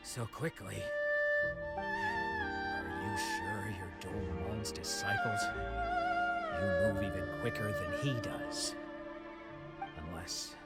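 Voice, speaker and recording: A man's voice: on the low side, huge pitch swings, takes it slowly, long pauses, exaggerated voice. An actor playing a proud, bitter wandering swordsman in an anime video game, speaking in a guarded, dramatic, reflective manner. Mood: startled, wary. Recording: loud music under the voice.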